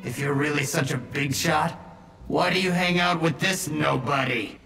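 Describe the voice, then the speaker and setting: An actor playing a hot-tempered, bullying bandit smuggler in a video game, gruff and scornful. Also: male voice, a little high, moderate pace, sharp tone. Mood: scornful, threatening. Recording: roomy echo, clear music behind the voice.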